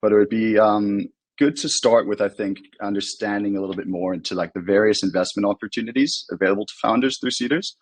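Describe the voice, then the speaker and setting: Low-voiced man hosting a webinar. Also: steady tone, fast talker, no room sound. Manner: loose, filler-heavy questioning. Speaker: webinar host. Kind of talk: webinar interview.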